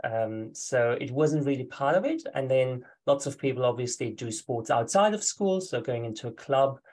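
A man's voice: mid-range voice, expressive, moderate pace, a little mellow. A man from Germany with a German accent, a guest on a podcast, talking in a friendly, hesitant way. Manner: hesitant, many fillers and restarts, friendly. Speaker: guest, ultra runner. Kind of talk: podcast interview.

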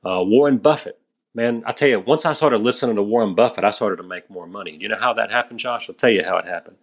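A man in his thirties, a guest on a podcast, giving energetic, emphatic advice and often calling the host "man". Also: on the low side, quick pace, slightly rough. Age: 30s. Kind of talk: podcast conversation.

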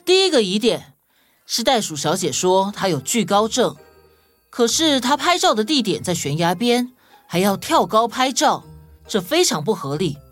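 Casting: woman as principled child sleuth for a kids' audio story, deliberate and logical, very animated pitch, music underneath. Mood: accusing, reasoning. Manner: deliberate, reasoning, matter-of-fact.

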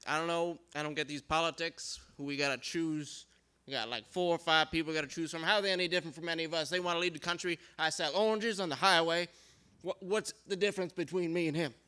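A man's voice: fairly high pitch, fairly even intonation, quick pace, sharp tone, clear, resonant tone. A man voicing a laid-back Cajun drifter who plays keyboards and sells oranges, with a rambling, folksy drawl. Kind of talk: improvised comedy bit.

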